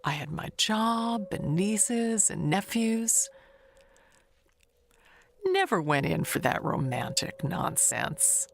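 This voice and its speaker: A woman playing a gossipy, wistful, never-married older neighbor who confides with pointed pauses and hushed asides in an audio mystery monologue. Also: medium pitch, very animated, gravelly, slight background noise, full character voice. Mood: wistful, defensive.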